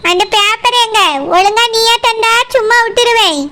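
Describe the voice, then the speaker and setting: A woman's voice: very high voice, steady tone, moderate pace, noisy background. A woman voicing a scheming, threatening old villain woman in a cartoon, with a Kanyakumari Tamil accent. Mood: bossy. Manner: plotting aloud to herself, menacing. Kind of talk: cartoon voice acting.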